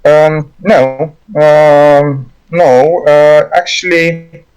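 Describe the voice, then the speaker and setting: A man with a Romanian accent, a native Romanian speaker, chatting as a guest artist, halting and stuttering with frequent restarts. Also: a little high, fairly even intonation, darkish tone, smooth, muffled recording, faint music behind. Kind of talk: casual conversation.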